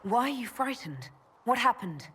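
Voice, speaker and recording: A woman's voice: a little high, big pitch swings, normal speed, pushed and bright, slight background noise. An actress playing an earnest young female elf mage in a fantasy game, guarded and questioning. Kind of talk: dramatic fantasy game acting.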